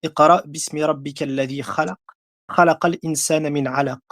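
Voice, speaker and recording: A man's voice: on the high side, fairly even intonation, normal speed, fairly bright tone. A man giving a debate rebuttal, speaking hesitantly with frequent fillers and reciting verses in between.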